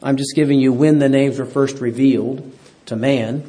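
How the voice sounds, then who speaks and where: A man's voice: mid-range voice, steady tone, moderate pace, warm tone, husky, rough recording. A man teaching a Bible class who keeps quizzing his listeners and asking them for an amen. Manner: quizzes the class, asks for amens. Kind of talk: interactive Bible lesson.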